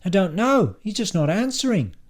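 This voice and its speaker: Man putting on an excitable, high-pitched chatty guy. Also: normal speed. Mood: exasperated. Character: excitable, self-deprecating, chatty male dinner guest.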